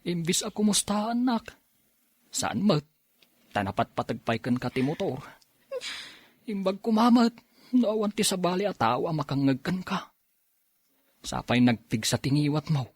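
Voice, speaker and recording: Casting: man as easygoing husband who wheedles, Ilocano accent, lively melodic delivery, dry radio-style drama sound. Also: on the high side, measured pace, long pauses, husky, no room sound, full character voice. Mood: hurt, complaining. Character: easygoing, apologetic husband who drinks, pleading and teasing.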